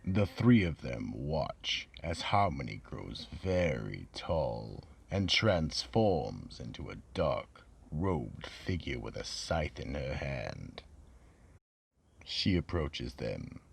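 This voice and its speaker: A man playing the storyteller of a radio mystery theatre, with deliberate, dramatic scripted narration. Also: very deep voice, gravelly, slightly rough, exaggerated voice. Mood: grave, ominous. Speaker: narrator and announcer.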